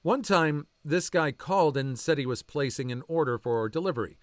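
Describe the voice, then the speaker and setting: A man reading online stories aloud as a channel narrator, with a lively, swooping delivery. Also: fairly high pitch, normal speed, no echo, studio quality. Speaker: story narrator.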